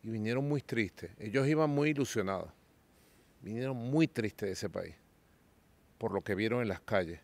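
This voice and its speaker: A man from Venezuela hosting a podcast, talking politics in a slow, measured way and drawing out his lists. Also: lowish voice, expressive, creaky. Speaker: podcast host. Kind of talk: political conversation.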